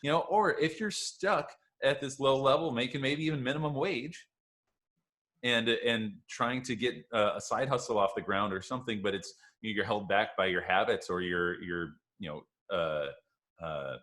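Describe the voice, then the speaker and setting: A man's voice: mid-range voice, moderate pace, a bit bright. A man, a health coach and guest, talking enthusiastically and emphatically, often checking in with "right?" and calling his host "man". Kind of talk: conversational interview.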